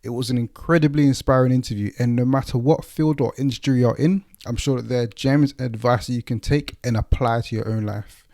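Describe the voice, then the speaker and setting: Casting: male podcast host, London accent, soft mellow tone, informal and chatty delivery. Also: medium pitch, steady tone, fairly quick, vocal fry, hardly any pauses. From East London, England. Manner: informal, conversational, uses slang. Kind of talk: casual interview chat and podcast introduction.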